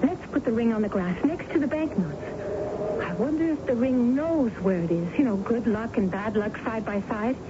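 Young romantic heroine, acted by a woman: sweet, superstitious, slightly high voice, muffled and hissy vintage radio broadcast. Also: lively intonation, moderate pace, close to an everyday voice. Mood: pleading, earnest. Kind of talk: 1970s radio drama acting. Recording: dull, noisy 1970s radio broadcast.

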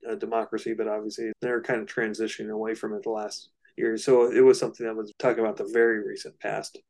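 Low, fairly monotone man co-hosting. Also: fast. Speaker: podcast co-host. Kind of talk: casual podcast discussion.

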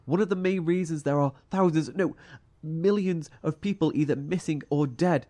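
An actor playing a blunt, distrustful, weary survivor in a horror audio drama. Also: male voice, fairly high pitch, moderate pace, dark tone, natural voice. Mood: indignant.

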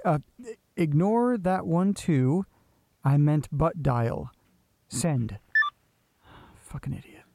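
A man's voice: a little high, slow pace, long pauses, mellow, slight background noise. An actor playing an anxious, self-berating man who mutters hesitantly to himself in a naturalistic audio drama. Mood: flustered, self-loathing.

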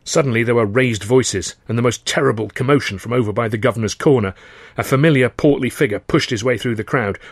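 A man's voice: mid-range voice, no room sound. English male storyteller, very dry recording. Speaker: author and narrator reading his novel. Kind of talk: expressive first-person audiobook narration.

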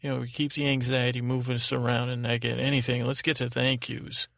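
A man hosting a podcast, talking in a meandering, halting way, drifting off on tangents and repeating himself. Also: mid-range voice, little pitch movement, creaky, fairly bright tone, no echo, clean studio sound. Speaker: podcast host. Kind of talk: rambling, tangential solo podcast talk.

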